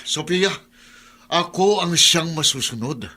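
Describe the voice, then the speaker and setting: A man's voice: fairly high pitch, expressive, slow, bright, forceful tone, heavily acted. A man with a Filipino accent playing a jealous, controlling rich husband in a radio drama, commanding and menacing. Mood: angry, threatening.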